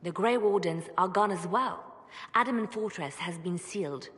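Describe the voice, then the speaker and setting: Shrewd female advisor played by an actress: lowish voice, cool, businesslike, ringing in a big hall. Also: normal speed, a bit bright, lightly acted. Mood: composed. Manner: composed, businesslike. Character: cool, shrewd female advisor giving war-council reports. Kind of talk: video game voice acting. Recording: long echo of a large hall.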